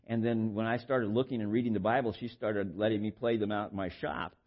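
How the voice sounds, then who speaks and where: An older man with a baritone voice preaching informally, halting and repeating words as he shares personal stories in a conversational way. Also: fairly even intonation, muffled recording. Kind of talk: informal sermon with personal anecdotes.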